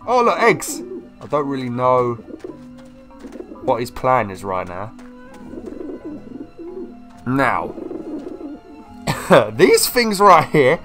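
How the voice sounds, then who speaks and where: A young man with a slight cold, casually commentating a video game walkthrough in an excitable, chatty way. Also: on the high side. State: slightly ill, sniffly. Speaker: gameplay video host. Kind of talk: casual let's-play commentary.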